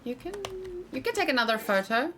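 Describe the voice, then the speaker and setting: A woman's voice: high voice, big pitch swings, fairly quick, a bit bright, slight background noise. A woman giving casual let's play commentary, thinking aloud and chatting to her viewers over game music. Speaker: livestreamer playing a video game. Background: game music, typing.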